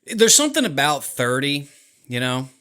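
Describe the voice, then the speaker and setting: A man's voice: medium pitch, very animated, normal speed, sharp tone. A man in his late twenties co-hosting a podcast, rambling through self-mocking stories in casual chat. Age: 20s. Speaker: podcast co-host.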